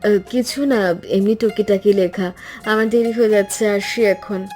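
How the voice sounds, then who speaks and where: A woman's voice: medium pitch, normal speed, darkish tone, close to an everyday voice. A woman playing a modest, guarded young woman in a cartoon, speaking in a polite, reserved way. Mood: hesitant, evasive. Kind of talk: cartoon voice acting. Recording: music under the voice.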